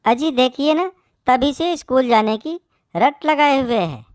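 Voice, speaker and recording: A cruel cartoon mother bird, played by a woman, speaks in a high voice. She scolds harshly and draws her words out slowly, favouring her son over everyone. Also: expressive, dry recording, studio quality. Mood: scolding, dismissive. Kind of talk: Hindi moral-story cartoon dub.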